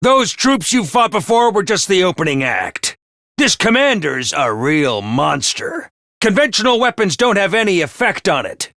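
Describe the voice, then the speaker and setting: An actor playing a flamboyant, bloodthirsty military commander who gives booming, theatrical orders in video game voice lines. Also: male voice, sharp tone, no room sound, clean studio sound, heavily acted. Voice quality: booming, theatrical, drill-sergeant bravado. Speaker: commander announcer.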